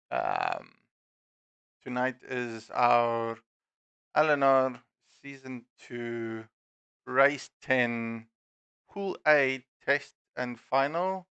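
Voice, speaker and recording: Unhurried man with a Dutch accent. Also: mid-range voice, vocal fry, bright, forceful tone, clean tone, no echo, highs cut off. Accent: Dutch-accented English. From South Africa. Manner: self-deprecating, unhurried, many fillers. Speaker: stream host and league admin. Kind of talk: live sim racing stream commentary. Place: home room at a desk. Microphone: gaming headset.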